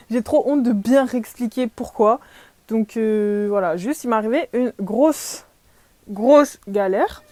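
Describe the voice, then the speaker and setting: A woman's voice: fairly high pitch, slow pace, mellow, dry recording. Young woman chatting, self-mocking and giggly. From France. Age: young adult. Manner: chatty and self-deprecating, lots of fillers and laughs. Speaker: vlogger. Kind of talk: casual podcast-style chat.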